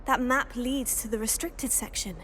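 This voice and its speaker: A woman's voice: fairly high pitch, fairly even intonation, moderate pace, a bit bright, a little hiss or hum, natural voice. An actress playing a plucky, polite teenage schoolgirl witch in a video game, earnest and composed. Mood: earnest, confiding. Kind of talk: video game cutscene acting.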